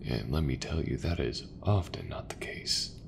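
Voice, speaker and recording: A man playing an easygoing, folksy sci-fi mechanic in a soft, breathy, reassuring ASMR roleplay voice. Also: a bass voice, expressive, noisy background, full character voice. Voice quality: gravelly, breathy, half-whispered. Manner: slow, unhurried, reassuring, colloquial. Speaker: voice actor.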